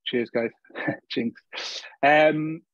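A man's voice: a little high, steady tone, very slow, no room sound, phone-quality sound. A man speaking as a guest at an online meetup, rambling with many restarts and fillers. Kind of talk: casual online meetup talk.

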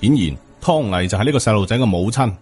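A man's voice: fairly low pitch, animated, fast talker, a little mellow. A man with a Hong Kong Cantonese accent narrating a supernatural novel as an audiobook. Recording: music behind the voice. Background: background music.

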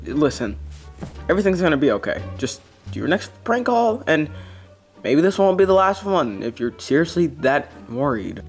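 A man plays a cocky older-brother hedgehog in a homemade plush skit. He talks casually and boastfully, with very lively pitch and a dismissive air. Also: moderate pace, faint music behind. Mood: reassuring, dismissive. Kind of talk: amateur plush comedy skit.

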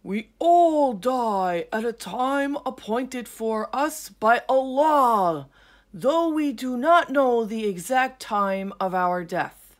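Woman voicing a loud, slow old lion. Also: a little high, animated, voice pushed up. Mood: solemn. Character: mighty old sleepy male lion.

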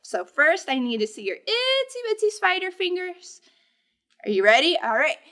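A young American woman, a children's librarian, leading storytime in an animated, encouraging way, talking directly to small children. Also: extremely high pitch, moderate pace. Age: young adult. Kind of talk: children's storytime presentation and read-aloud. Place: indoors in a library, seated before bookshelves.

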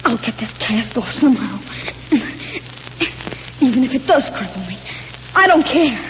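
A woman plays a suffering schoolboy in a 1930s radio drama. The voice is very high and animated, plaintive and desperate. The vintage recording is dull with faint hiss. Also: moderate pace, long reverb tail, close to an everyday voice. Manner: plaintive, impassioned.

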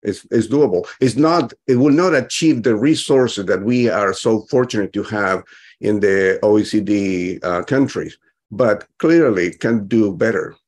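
Measured older man, lowish voice. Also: a little mellow. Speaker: physician panelist. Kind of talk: conversational panel discussion.

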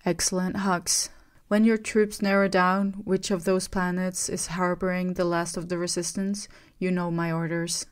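A woman voicing a young male villain who speaks calmly, flatly and with menace. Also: fairly low pitch, normal speed, clean tone, lightly acted. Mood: cold. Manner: calm, flat, menacing.

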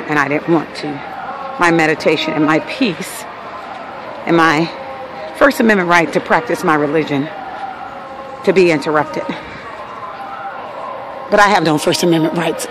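A woman from Los Angeles with a Californian accent, narrating a casual handheld video as an aggrieved local resident in a matter-of-fact way. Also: deep voice. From Los Angeles, United States. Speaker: local resident documenting a noise problem. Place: mostly outdoors walking along apartment alley. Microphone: handheld phone. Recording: noisy outdoor phone recording, street noise.

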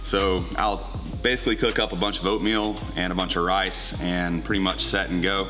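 A man in his thirties, a fitness coach, talking in a relaxed, rambling, joking way at a gym counter. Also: sits low, normal speed, music in the background. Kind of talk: casual chat.

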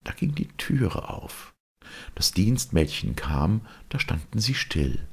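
A man reading a fairy tale aloud as an expressive audiobook storyteller. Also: low-pitched, slow, husky.

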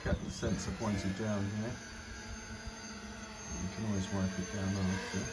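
A man's voice: sits low, little pitch movement, unhurried. A man giving a casual, informal demo commentary as a drone reviewer, thinking aloud hesitantly. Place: outdoors on a garden patio in rain. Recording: outdoor recording with clear background noise.

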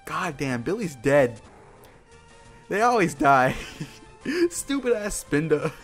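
A man's voice: fairly high pitch, very animated, takes it slowly. A man giving casual gameplay commentary as a video host, joking and self-deprecating, with informal talk and mild swearing. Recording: game music behind the voice. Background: video game music.

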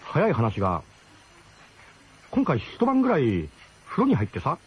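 A man playing an embarrassed camp cook in a radio drama, speaking casually in a halting, roundabout way. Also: mid-range voice, wildly swooping pitch, breathy edge, pitched above the performer's own voice, full character voice. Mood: awkward, hesitant. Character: hesitant, embarrassed male camp cook, awkwardly diplomatic friend. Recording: dull, slightly noisy old radio broadcast.

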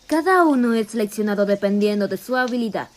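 A woman voicing a haughty, harsh female animal army commander in an animated fan dub, speaking with contempt. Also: medium pitch, quick pace, close to an everyday voice. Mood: commanding.

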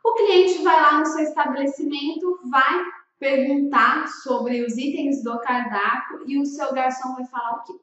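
A woman's voice: high-pitched, steady tone, a little slow, fairly bright tone. A Brazilian woman in her thirties giving an emphatic, didactic instructional talk to camera as a consultant, often posing rhetorical questions. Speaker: food-service consultant and video host. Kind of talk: instructional vlog addressed to restaurant owners. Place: small indoor room with bookshelf. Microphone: large microphone with pop filter in front of her. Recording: echoey room, distant, slightly dull sound.

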